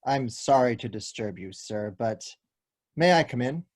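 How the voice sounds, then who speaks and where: A man's voice: medium pitch, lively intonation, a little slow, darkish tone. A man playing an earnest, slightly awkward police detective in a comic play read aloud. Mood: apologetic. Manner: formal, apologetic. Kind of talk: table-read stage comedy acting.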